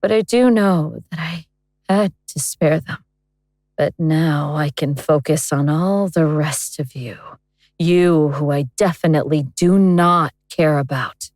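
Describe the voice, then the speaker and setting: Woman as a slow, cold ancient elder goddess. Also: sits low, a little mellow, no echo. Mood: cold disdain. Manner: deliberate, grandiose, menacing. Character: cold, contemptuous ancient elder goddess awakening to devour humanity. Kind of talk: horror audio drama monologue.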